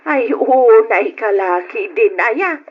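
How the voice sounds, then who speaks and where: High-voiced, fussing mother. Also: expressive, slow pace, breathy edge, heavily acted. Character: fussing, caring mother of a grown son. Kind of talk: old Ilocano radio drama acting. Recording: dull lo-fi radio drama recording.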